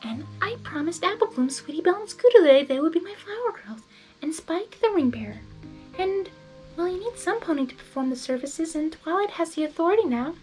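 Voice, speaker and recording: Woman voicing a high, soft pleading pony girl. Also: expressive, fairly quick, soft background music, rough recording. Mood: resolute. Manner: earnest, pleading. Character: soft, high-voiced, pleading young female pony, gentle but determined.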